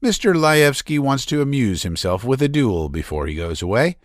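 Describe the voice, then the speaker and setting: Cold, clipped, sardonic gentleman, read by a male narrator; lively pitch, dry sound. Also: no room sound, lightly acted. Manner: cool, clipped, measured. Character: cool, sardonic male zoologist, calm.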